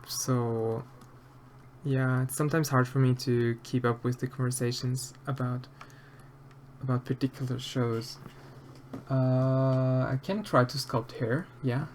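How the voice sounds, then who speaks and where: Austrian guy rambling softly on a stream, lots of ums, faint hiss and typing behind. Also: mid-range voice, little pitch movement, slow. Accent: Austrian German. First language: German. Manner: hesitant, many fillers and restarts, soft-spoken. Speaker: streamer answering chat while sculpting. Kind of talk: casual live-stream chat.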